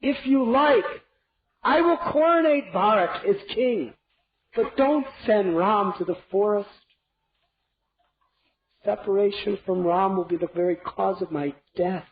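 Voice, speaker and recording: A man voicing a grief-stricken old king who pleads desperately, his voice rising high, on a dull lo-fi recording. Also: measured pace, a little hiss or hum. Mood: desperate pleading. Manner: anguished, pleading, raises voice high.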